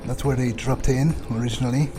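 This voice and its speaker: A man's voice: medium pitch, steady tone, brisk. A man playing a video game fully in character, muttering self-assured, sardonic commentary into a desk microphone. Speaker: let's play roleplayer. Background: loud game music and gunfire.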